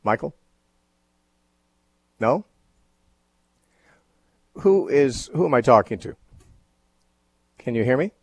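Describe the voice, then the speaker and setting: A man hosts a radio call-in program, explaining scripture at an unhurried pace. His voice is somewhat husky, and he often pauses with fillers and restarts his sentences. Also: medium pitch, animated, no echo. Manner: thoughtful, measured, with frequent fillers and restarts. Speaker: radio call-in show host. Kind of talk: Bible teaching on a call-in show.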